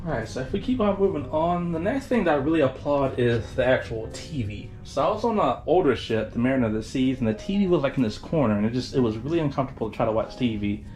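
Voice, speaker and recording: A man's voice: medium pitch, expressive, quick pace, hardly any pauses, darkish tone, faint music behind. A tired man giving a casual, informal tour as a travel vlogger, addressing viewers in a folksy way. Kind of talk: casual cruise ship tour commentary.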